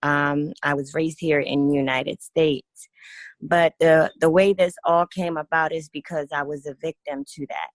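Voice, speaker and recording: A woman in her twenties from Kenya, a guest on a radio talk show, telling her own story earnestly with many pauses and fillers. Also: sits low, steady tone, no echo. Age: 20s. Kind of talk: radio interview, personal testimony.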